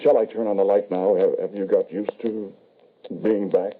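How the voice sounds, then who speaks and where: Male actor, grieving older father, hesitant, desperately loving, lowish voice, old radio drama, narrow tinny sound. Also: little pitch movement, moderate pace, natural voice. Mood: anxious. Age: middle-aged or older. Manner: hesitant, stammering, pleading. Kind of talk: 1940s radio horror acting. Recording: noisy, tinny old-time radio recording.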